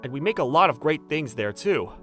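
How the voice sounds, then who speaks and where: A man acts out a lovestruck, earnest young factory owner in a manga dub. His pitch leaps around freely as he gets flustered. Soft music plays underneath his lines and inner thoughts. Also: big pitch swings, fairly quick. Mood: earnest, nervous. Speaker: voice actor, lead and narrator.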